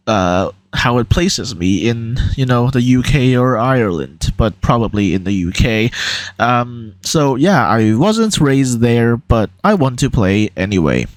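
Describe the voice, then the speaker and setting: A man's voice: mid-range voice, dry recording. A young man from Hong Kong with a neutral American-ish accent, casually talking through an online quiz in a hesitant, rambling way with many fillers. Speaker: YouTuber.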